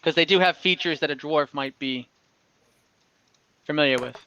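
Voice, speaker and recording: Bright, clear higher-pitched man running a game. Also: no echo, muffled recording. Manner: halting, pausing mid-sentence as he improvises. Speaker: game master. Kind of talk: improvised narration of an online tabletop game. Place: indoors, online voice call.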